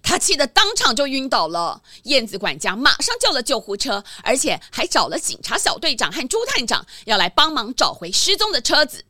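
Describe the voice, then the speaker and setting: Animated high-voiced woman storyteller. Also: a little fast, few pauses. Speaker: narrator. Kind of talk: expressive children's story narration, scripted.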